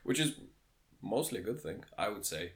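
A man co-hosting a casual podcast, his voice sitting a little low. He lays out his points in a dry, matter-of-fact way and breaks into laughter now and then. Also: expressive, moderate pace. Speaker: podcast co-host.

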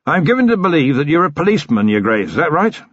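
A man's voice: a little high, big pitch swings, quick pace, a bit bright, no echo, pitched above the performer's own voice. A man voicing a bluff, hearty elderly retired military colonel who rambles cheerfully. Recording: dry, slightly dull recording.

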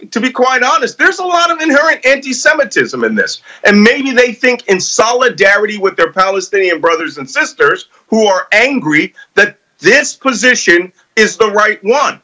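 A man's voice: very high voice, pushed and bright, low-quality recording. A middle-aged man speaking as a guest commentator in a political panel discussion, emphatic, assured and argumentative. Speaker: guest commentator with military experience.

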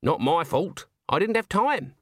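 A man voicing a stern, haughty old woman witch in an audiobook, clipped and deadpan. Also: on the high side, measured pace, no room sound, clean studio sound, pitched above the performer's own voice, full character voice. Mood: stern, irritated. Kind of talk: audiobook character acting.

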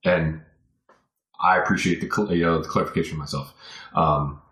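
A man's voice: deep voice, fairly even intonation, moderate pace, a bit bright. A man as a podcast guest, chatting casually and reflectively, rambling as he thinks aloud. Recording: roomy, echoey sound.

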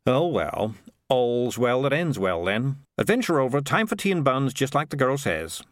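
A man's voice: medium pitch, big pitch swings, normal speed, dry recording, clean studio sound, lightly acted. A man voicing Morris, a cynical streetwise male cat who talks in a wry, grumbling, sarcastic way.

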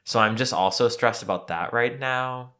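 A man co-hosting a podcast in casual chat, congested and sniffly from allergies, talking in a relaxed, conversational way. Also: mid-range voice, normal speed. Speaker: podcast co-host.

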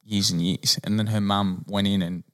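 Australian podcast co-host telling stories. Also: male voice, on the low side, fairly even intonation. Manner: storytelling, drawn-out asides. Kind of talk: casual banter.

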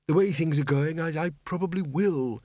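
A meek, apologetic undead wizard with a high voice that wanders up and down, polite and hesitant, as a male narrator puts him on. The sound is dry and close. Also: very animated, a little slow, dry recording. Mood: fretful.

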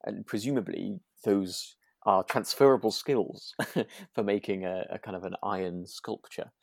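A man's voice: lowish voice, a little slow, creaky, a little mellow, no echo. A man co-hosting a podcast, chatting casually in a hesitant, agreeable way, often repeating words as he thinks. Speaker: podcast co-host. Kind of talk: casual discussion of a radio drama.

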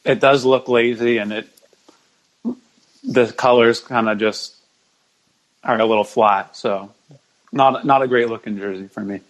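A man with a mid-pitched voice is a guest in casual sports podcast talk. He sounds understated and agreeable, feeling his way through his answers. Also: steady tone, a little slow, pauses often, low-quality recording.

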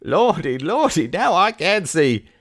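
A man's voice: mid-range voice, sing-song, moderate pace, fairly bright tone, no echo, clean studio sound, voice pushed up. Man acting a rustic, stammering, servile asylum keeper. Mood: servile, eager. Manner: stammering, folksy dialect speech.

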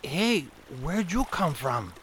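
Slow-witted henchman played by a man, hesitant, dragging pace, faint ambient noise, old radio crime drama. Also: on the high side, very animated, fairly bright tone, exaggerated voice. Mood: puzzled, reluctant. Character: dim, obedient male gangster henchman. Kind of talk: 1930s radio drama acting.

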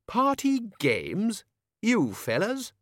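A man's voice: sits high, huge pitch swings, extremely slow, fairly bright tone, no echo, studio quality, pitched above the performer's own voice, heavily acted. A man voicing a pompous, hearty older male wizard who runs a college, in an audiobook. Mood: incredulous. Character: blustering, self-assured older male wizard, head of college. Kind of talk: audiobook character acting.

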